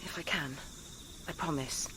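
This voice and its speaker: A woman's voice: sits low, noisy background. An actress playing a confused, hurt and defiant young woman in a radio drama, emotional and earnest. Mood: guarded, confused. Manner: emotional, earnest, quick to flare.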